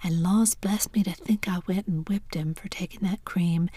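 A woman's voice: on the low side, steady tone, normal speed, mellow, husky, no echo. A woman whispering as a tearful, remorseful mother in a storybook reading. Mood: sobbing.

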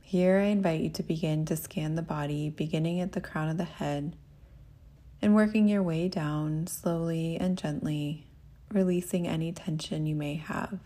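A woman meditation teacher leading a guided meditation in a gentle, soothing, unhurried way. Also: sits low, fairly even intonation.

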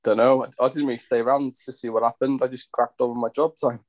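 A man's voice: medium pitch, fairly even intonation, quick pace, darkish tone, no echo. A Welsh man, a chef appearing as a podcast guest, talking bluntly and casually. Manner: blunt, laid-back, swearing casually.

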